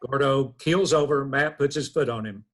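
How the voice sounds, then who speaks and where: A man's voice: medium pitch, moderate pace, noisy background. A man reading out stage directions as scripted narration at a table read over video call.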